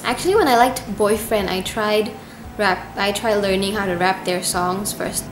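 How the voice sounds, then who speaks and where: A woman in her early twenties from Indonesia, chatting earnestly and gratefully in a casual question-and-answer vlog. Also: medium pitch. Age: 20s. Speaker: YouTube cover singer. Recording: echoey room with noise.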